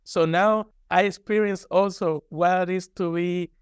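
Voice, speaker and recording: A man's voice: high-pitched, steady tone, measured pace, smooth, dry recording, studio quality. A man from Cuba with a Cuban accent and native Spanish, speaking candidly and conversationally as an interview guest. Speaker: guest, university professor.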